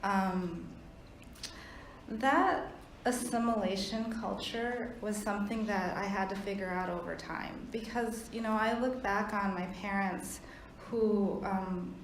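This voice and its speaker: A woman's voice: mid-range voice, slight background noise. Earnest woman speaking in an echoey room. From United States. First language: Thai. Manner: thoughtful, earnest, many pauses and "um"s. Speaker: panelist. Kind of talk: reflective panel talk.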